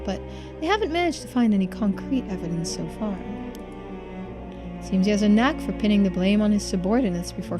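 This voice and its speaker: A woman voicing a poised, unflappable female investigator in a video game, polite and gently needling in measured, formal phrases. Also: mid-range voice, lightly acted. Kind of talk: game character voiced in a playthrough.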